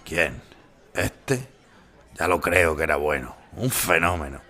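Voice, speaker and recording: An actor playing an old retired bullfighter, blunt and wry, in an audio drama. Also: male voice, sits low, expressive, slow pace, sharp tone, exaggerated voice.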